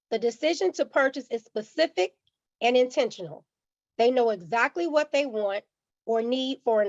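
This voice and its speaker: A woman, under the weather with a slightly raspy voice, giving a teacherly personal finance presentation to a church community and often checking in with "okay?". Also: a little high, no echo, highs cut off. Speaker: guest speaker on personal finance.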